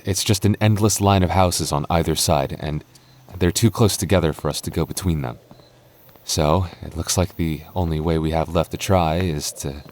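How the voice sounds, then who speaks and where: A man's voice: low-pitched, darkish tone. A man playing a stammering goth boyfriend. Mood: anxious. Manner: stammering, repeating words. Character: nervous young goth boyfriend, tender and protective. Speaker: voice actor. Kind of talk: ASMR boyfriend roleplay.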